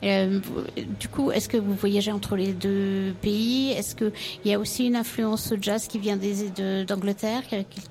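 A woman's voice: medium pitch, steady tone, a little slow, a little mellow. Polite woman interviewer asking questions. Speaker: radio interviewer.

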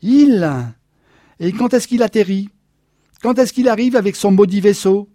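A man's voice: a little high, measured pace, breathy edge, no room sound, clean studio sound. A man reading a jealous, hot-tempered husband. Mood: shouting. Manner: loud, sharp outbursts. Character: jealous husband, tense and quick to anger. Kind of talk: audiobook dialogue reading.

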